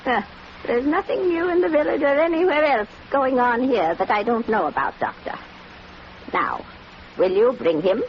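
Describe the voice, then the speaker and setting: An actress playing a sharp-tongued, bossy, suspicious old country matriarch in a 1940s radio drama. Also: female voice, a little high, animated, normal speed, close to an everyday voice. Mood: confiding, suspicious. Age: older. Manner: imperious, blunt, scornful. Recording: muffled, noisy 1940s radio recording.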